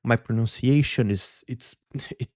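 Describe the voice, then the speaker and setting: A man's voice: medium pitch, measured pace, dry recording, studio quality. A man from Brazil with a Brazilian Portuguese accent, a Portuguese native speaker hosting a solo show, talking in an earnest, reflective way and searching for words in his second language. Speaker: podcast host.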